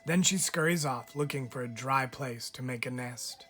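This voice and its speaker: A man reads a picture book aloud for children in a measured, expressive storytelling style. He leaves deliberate pauses between phrases, like a teacher reading to pupils. Faint music plays behind his voice. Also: mid-range voice.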